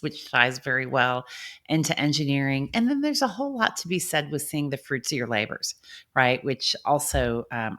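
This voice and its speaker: A woman's voice: very deep voice, a bit bright. A woman hosting an interview podcast, upbeat and affirming with her guest. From grew up on a farm. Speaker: podcast host.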